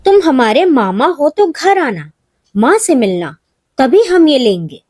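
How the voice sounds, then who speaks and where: A woman's voice: high voice, very animated, a little slow, darkish tone, dry recording, clean studio sound. A woman voicing an obedient, sensible young male goat kid in a children's story, earnest and firm. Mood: wary, firm.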